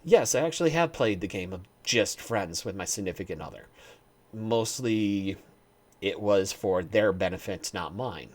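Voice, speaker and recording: Northern US man with lively, wry delivery. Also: on the low side, moderate pace. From northern United States. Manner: reads each statement, then answers it with wry asides. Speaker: vlogger. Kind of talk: casual commentary reading a quiz aloud.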